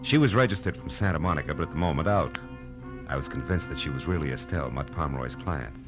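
A man's voice: extremely low pitch, expressive, fast. A man playing a hard-boiled, wisecracking private detective in a 1940s radio drama, wry, clipped and slangy. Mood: tense, brisk narrating. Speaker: lead actor and narrator. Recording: old radio drama with music behind.

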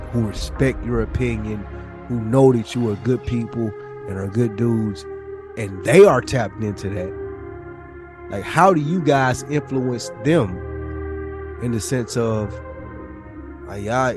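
A man hosting a podcast in casual, conversational talk. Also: medium pitch. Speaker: podcast host. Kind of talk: casual chat.

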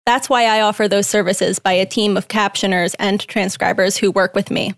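A woman reading a guest's typed interview answers aloud in a measured, plain way. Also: mid-range voice, fairly even intonation, no echo, studio quality.

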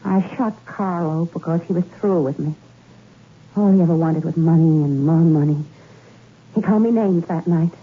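Husky, low-voiced radio femme fatale. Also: female voice, normal speed. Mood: cold, confessional. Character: cool, scheming femme fatale who confesses to killing. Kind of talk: 1960s radio suspense acting. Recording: thin phone-like old radio recording.

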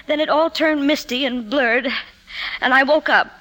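An actress playing an anxious, glamorous woman confiding in her psychoanalyst, halting and tremulous in 1950s radio drama style. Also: sits high, a bit bright. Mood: distressed. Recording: noisy 1950s radio broadcast recording.

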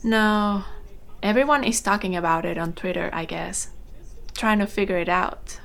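A woman playing a warm, chatty older housewife in a naturalistic audio drama. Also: mid-range voice, moderate pace, creaky. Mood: chatty, mildly grumbling.

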